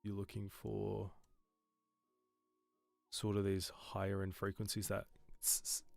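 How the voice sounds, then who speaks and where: A man with a low, bass-heavy voice talks casually on a live stream. He rambles a little, restarts his sentences and fills the gaps with likes and ums. The sound is dry and close. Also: monotone, slow, creaky, no echo, soft background music. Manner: rambling, loose, with many fillers and restarts. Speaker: streamer giving audio feedback.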